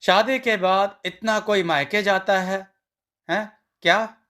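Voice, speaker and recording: A man's voice: high voice, fairly even intonation, slow, pushed and bright, pitched above the performer's own voice, heavily acted. A man voicing a disapproving middle-aged schoolteacher father-in-law in a storybook tale, in a complaining manner.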